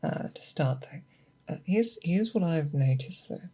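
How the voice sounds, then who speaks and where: A performer in an ASMR roleplay playing a patient, methodical monster hunter who mentors an apprentice, speaking deliberately and coaxing with questions. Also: mid-range voice, animated, a little mellow, husky. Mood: calm, focused. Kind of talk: ASMR roleplay, scripted character monologue.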